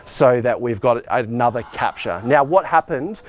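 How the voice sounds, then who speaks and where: Male tour guide, medium pitch, school staff type; casual, practical, off-the-cuff technical explainer. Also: expressive, moderate pace. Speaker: school arts and IT department head giving a behind-the-scenes tour. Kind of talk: informal walk-through technical tour, explaining gear. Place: on the move through a school tech room and performance venue.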